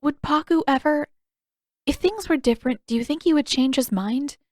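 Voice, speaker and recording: A woman's voice: high voice, normal speed, darkish tone, no echo, studio quality, pitched above the performer's own voice, natural voice. A woman voicing an earnest, determined teenage girl in an audiobook story, hesitant at times yet stubborn. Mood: hesitant, probing.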